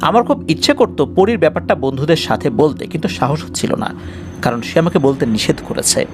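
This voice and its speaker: A man's voice: fairly high pitch, sing-song, brisk. A man narrating a scripted first-person ghost story in a dramatic way.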